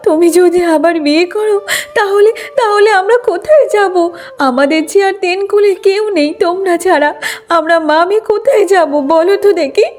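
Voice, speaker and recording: Woman voicing a very high, pleading young wife. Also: a little mellow. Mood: tearful pleading. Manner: pleading, tearful, halting. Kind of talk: Bengali moral-story cartoon acting.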